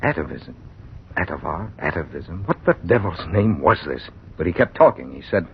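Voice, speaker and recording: An actor playing a bewildered, frightened man recounting a strange ordeal in intense, halting radio drama narration. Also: big pitch swings, gravelly, slightly rough, exaggerated voice. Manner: intense, halting, repeats words in disbelief. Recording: muffled, noisy old radio recording with rumble.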